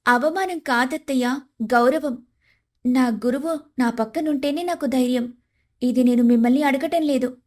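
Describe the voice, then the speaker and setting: A woman's voice: high voice, moderate pace. A woman playing a respectful, devoted young daughter-in-law in a moral story, speaking in a heartfelt way. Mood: earnest, pleading. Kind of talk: dramatised moral story dialogue.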